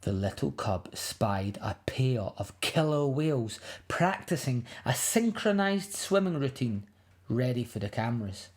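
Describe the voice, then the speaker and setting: A woman reading a picture book aloud to young children in an expressive, dramatic way. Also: a bass voice, unhurried. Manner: expressive, dramatic, with emphatic sound words.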